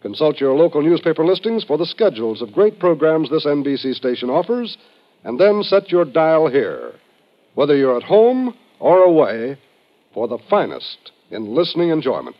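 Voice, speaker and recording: Lively American vintage radio announcer, male. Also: fairly high pitch, normal speed. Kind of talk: old-time American radio announcing, scripted. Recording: dull vintage radio broadcast. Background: music.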